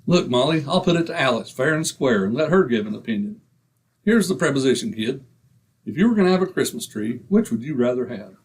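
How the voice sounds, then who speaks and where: A man's voice: mid-range voice, expressive, a little fast, slightly rough, poor audio. A man acting a stubborn, penny-pinching husband in old-time radio comedy, indignant and folksy in manner. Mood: coaxing.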